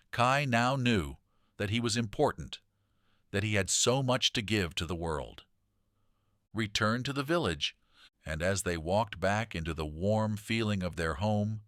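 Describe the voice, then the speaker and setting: Male narrator, deep voice, dry close sound, reading a gentle bedtime tale for children. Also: no echo. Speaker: storyteller.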